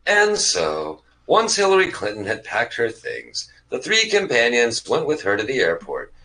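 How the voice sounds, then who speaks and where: A man's voice: medium pitch, huge pitch swings, a little slow, bright, forceful tone, poor audio. A man narrating comedy sketches in a theatrical, mock-announcer style.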